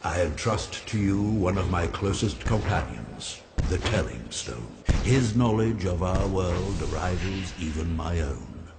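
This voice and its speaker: An actor playing an ancient talking oak tree, wise, kindly but stern, speaking in a grave, formal way in an animated fantasy game. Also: male voice, deep voice, creaky, background noise, full character voice. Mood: solemn, benevolent. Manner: slow, grave, formal and deliberate.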